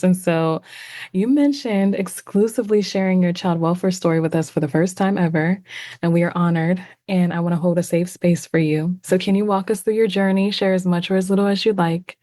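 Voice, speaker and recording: A woman interviewer speaks in a slightly low voice with almost no room echo. She hosts conversationally, laying out long questions. Her manner is gentle and supportive. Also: a little fast, clean studio sound. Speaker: podcast host, interviewer.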